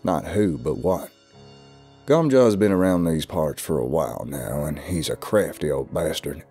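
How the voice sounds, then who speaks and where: A man's voice: deep voice, lively intonation, normal speed, creaky, a little mellow, slightly rough, soft background music, exaggerated voice. A man with a Southern US accent voicing a stern, ominous older recluse.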